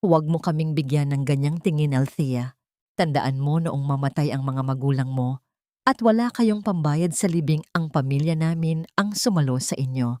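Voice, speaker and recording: A woman's voice: sits low, normal speed, a little mellow, dry recording, natural voice. A woman voicing a stern, domineering elderly mother-in-law in an audio story, commanding and reproachful. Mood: cold, reproachful.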